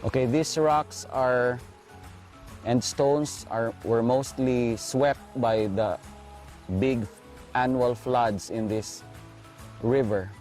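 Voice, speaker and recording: Slow-speaking Filipino man teaching, over music. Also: mid-range voice, fairly even intonation, a little mellow. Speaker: art instructor. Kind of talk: instructional video narration.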